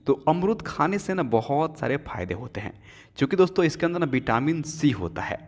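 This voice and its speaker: A man giving a chatty home-remedy cooking demonstration in a home kitchen, often addressing viewers as friends. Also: mid-range voice. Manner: chatty, keeps saying "friends" and filler "na". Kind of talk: cooking demonstration with health tips. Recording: long reverb.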